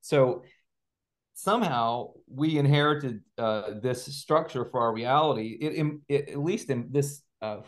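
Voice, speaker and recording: A man talks as a guest in a conversational interview. He lays out abstract ideas in an assertive, articulate manner, stressing his points as he goes. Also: mid-range voice. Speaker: interview guest, writer and researcher. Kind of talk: conversational exposition of spiritual and speculative ideas.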